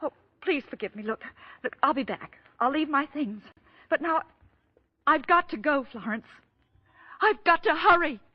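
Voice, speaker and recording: High female voice, distressed, halting, pleading, old-time radio melodrama, dull dated sound. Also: expressive. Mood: urgent, pleading. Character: distressed, guilt-ridden woman desperate to make amends. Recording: muffled old radio recording.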